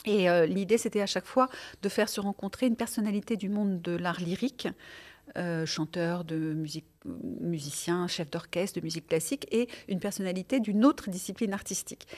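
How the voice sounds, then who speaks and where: A woman's voice: medium pitch, darkish tone. A middle-aged woman, raised in France, recalling her life and career in an interview, with frequent hesitations and restarts. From born in Tunisia, raised in France. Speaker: guest, television presenter. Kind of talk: podcast interview, reflective storytelling.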